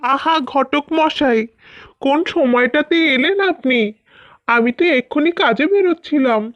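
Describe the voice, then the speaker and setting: A woman's voice: sits high, unhurried, no echo. A woman voicing a polite, busy middle-aged village father in a cartoon, on a dull recording. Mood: polite, hurried. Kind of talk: Bengali cartoon fairy-tale acting. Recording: dull, lo-fi, dry sound.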